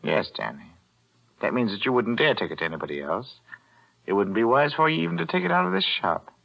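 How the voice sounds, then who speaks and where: A man's voice: mid-range voice, animated, fast, vocal fry. An American actor playing a shrewd, grasping pawnbroker in a 1940s radio thriller, hemming and reasoning slyly as he drives a bargain. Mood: smugly threatening. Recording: muffled old 1940s radio recording.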